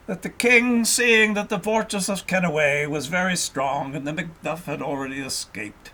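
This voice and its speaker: A man narrating a historical tale as an audiobook reader, in an expressive storytelling style. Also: medium pitch, slight background noise, rough recording.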